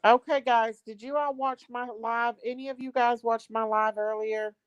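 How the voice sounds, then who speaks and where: A woman's voice: a little high, steady tone, moderate pace, clear, resonant tone, dry recording, muffled recording. Woman, livestream host, chatty comment-reader, dry close mic. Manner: reads viewer comments aloud, greets viewers by name. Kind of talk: casual livestream chat reading comments.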